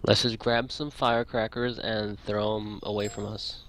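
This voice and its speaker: A man with a fairly low voice plays along in a role-play game over online voice chat. He is casual and insistent, repeating phrases as he pushes his point. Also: level tone, moderate pace, a bit bright, a little hiss or hum. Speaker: tabletop role-play player.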